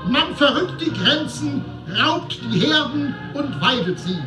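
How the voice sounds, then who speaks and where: Male reciter: very high, swooping declamation of scripture lament, distant, loud music around it. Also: big pitch swings, a little slow, echoey room, exaggerated voice. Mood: solemn lament. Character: solemn, anguished reciter of biblical lament.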